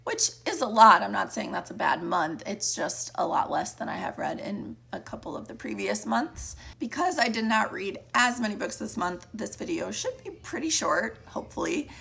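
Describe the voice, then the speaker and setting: A woman with a deep, cracking voice chatting casually about the books she read, often trailing off and restarting. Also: expressive, moderate pace, few pauses, sharp tone. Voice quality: deep, gravelly voice. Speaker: book vlogger. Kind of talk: casual monthly reading wrap-up.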